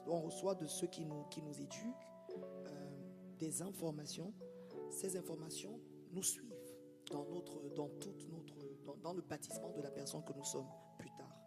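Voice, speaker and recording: A man's voice: fairly high pitch, moderate pace, gravelly, long reverb tail, over loud music. A man hosting a podcast interview, reflective, searching for words and repeating himself. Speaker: host.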